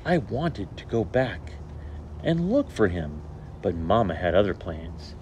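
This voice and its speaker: Slow, animated male children's storyteller. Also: sits low. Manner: animated, theatrical, deliberate phrasing. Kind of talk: expressive picture-book read-aloud for children. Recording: steady background noise.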